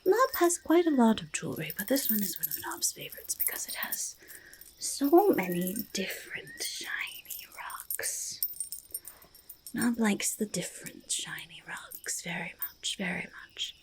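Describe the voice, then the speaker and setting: A woman voicing a curious, friendly forest goblin in a soft ASMR roleplay, speaking haltingly in breathy whispers and creaky murmurs, repeating words. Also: a little high, huge pitch swings, low-quality recording. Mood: pleased, eager. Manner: halting, third-person speech with doubled words. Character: curious, eager, friendly forest goblin who hoards treasure.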